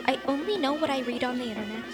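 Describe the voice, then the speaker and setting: An actress playing a playful, seductive siren, cooing and teasing coyly in an audio comedy. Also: female voice, high-pitched, racing, over loud music, natural voice. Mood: flirtatious. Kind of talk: live audio comedy acting.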